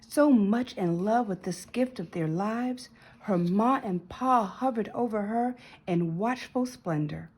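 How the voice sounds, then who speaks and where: A woman reading a children's story aloud in an expressive way, with dramatic emphasis and drawn-out words. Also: mid-range voice, breathy edge. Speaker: storybook reader.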